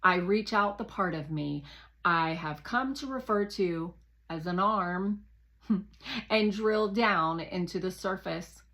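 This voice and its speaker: Woman, bright forceful voice, slow pace, expressive children's audiobook narration, deliberate emphasis. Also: fairly low pitch. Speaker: read-aloud narrator.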